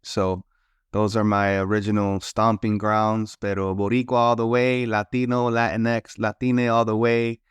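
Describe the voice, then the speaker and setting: A middle-aged man from New York tells stories as a podcast guest in an unhurried, reflective way. He drops in Spanish phrases and leans on "you know." His voice is smooth and resonant, and it sounds close and dry. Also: fairly low pitch, flat delivery, no echo. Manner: reflective storyteller who slips into Spanish, many "you know" fillers. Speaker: guest, author and consultant. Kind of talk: casual interview talk.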